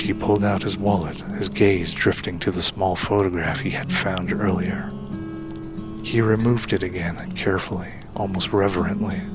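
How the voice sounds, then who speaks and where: Deep-voiced man narrating an audio drama. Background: background music.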